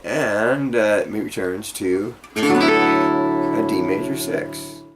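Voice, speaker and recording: A man giving an informal guitar lesson, explaining haltingly and checking in with the listener as he demonstrates phrases. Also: on the low side, low-quality recording. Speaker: guitar teacher. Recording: loud acoustic guitar alongside the voice. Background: guitar being played between phrases.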